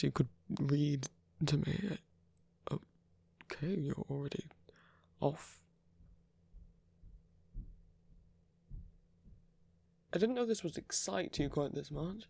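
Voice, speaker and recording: A performer of unclear sex voicing a soft-spoken, weary, tender villain lover in a romantic ASMR roleplay, breathy and often whispery, with a hesitant, gently wry delivery. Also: mid-range voice, takes it slowly, long pauses, dry recording, heavily acted. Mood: flirty, resigned.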